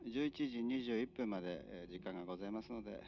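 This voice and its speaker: Low-voiced conductor on a noisy train PA. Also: male voice, quick pace. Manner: formal announcer cadence with frequent "eh" fillers. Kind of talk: onboard train announcements. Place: moving express train. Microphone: train PA system recorded from a passenger seat. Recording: dull, noisy onboard PA recording.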